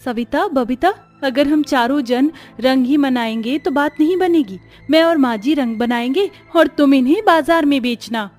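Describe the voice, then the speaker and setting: A woman's voice: high voice, normal speed, hardly any pauses, darkish tone, soft background music, pitched above the performer's own voice, natural voice. A woman voicing a caring, sensible young village daughter-in-law in a family story. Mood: practical.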